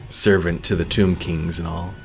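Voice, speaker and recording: Casting: male game streamer, low gravelly voice, laid-back casual play commentary, thinking aloud. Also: little pitch movement, normal speed, soft background music. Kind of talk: casual gameplay commentary, reading viewer comments.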